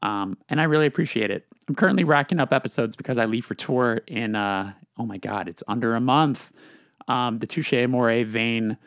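Gravelly-voiced chatty podcast host. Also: male voice, medium pitch, normal speed, a bit bright, dry recording, studio quality. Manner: chatty, loose, many fillers and restarts. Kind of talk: casual podcast interview.

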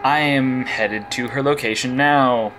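A man's voice: mid-range voice, steady tone, a little slow, a bit bright, slight background noise, lightly acted. An actor playing a dry, wisecracking male vigilante detective who dictates case logs. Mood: focused. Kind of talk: audio drama acting.

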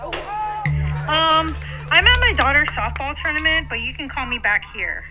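High, tinny phone-line businesswoman. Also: lively intonation, measured pace, over loud music. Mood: polite, pressing. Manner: polite, businesslike. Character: businesslike corporate colleague, a mother, on an urgent voicemail. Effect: telephone voicemail filter.

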